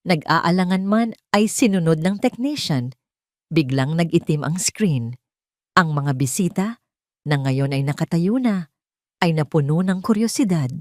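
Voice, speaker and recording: Low-voiced Filipino woman narrating a drama. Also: darkish tone, no echo, clean studio sound. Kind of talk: scripted dramatic story narration.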